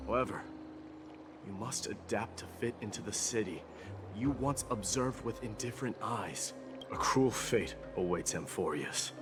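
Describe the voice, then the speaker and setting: A grave, deep-voiced warrior king. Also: expressive, unhurried. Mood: grave, resolute. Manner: grave, formal, declamatory. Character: proud solemn young warrior king, newly ascended war demigod. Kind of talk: scripted game cutscene drama. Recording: game dialogue under loud music.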